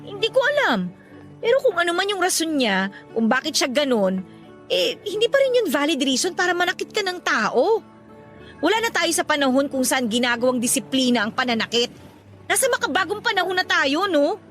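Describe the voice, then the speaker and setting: A woman's voice: sits high, sing-song, a little fast, fairly bright tone, faint music behind. A woman playing a troubled, indignant young working woman in a Tagalog radio drama, talking in an emotional, aggrieved way.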